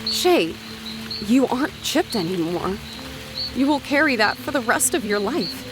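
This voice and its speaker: A woman's voice: on the high side, sing-song, a little slow. An actress playing an earnest, anxious young woman companion who pleads with emotion, in an audio drama. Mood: pleading. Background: music.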